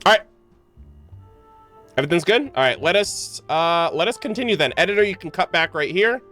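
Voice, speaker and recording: A man's voice: high voice, expressive, brisk, bright, forceful tone. Man, casual live-stream commentary, slangy, music behind. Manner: slangy, informal. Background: game music.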